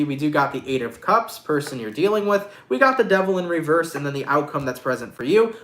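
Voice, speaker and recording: A man doing a casual tarot reading in a lively, slangy, affectionate way, sounding congested and nasal. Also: on the high side, reverberant. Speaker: tarot reader.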